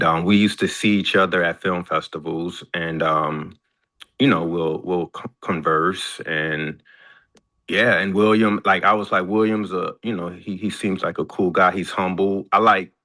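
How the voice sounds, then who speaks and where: A man's voice: low voice, steady tone, a little slow, bright, forceful tone. A man in a casual question-and-answer chat as a filmmaker, speaking hesitantly and repeating words as he searches for details. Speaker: filmmaker and screenwriter.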